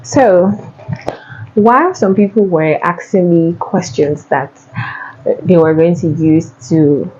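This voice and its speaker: A woman's voice: on the low side, slow pace, darkish tone, muffled recording, a little hiss or hum. A young Nigerian woman with a Nigerian accent, answering viewer questions in a casual, chatty way and often repeating herself. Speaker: vlogger.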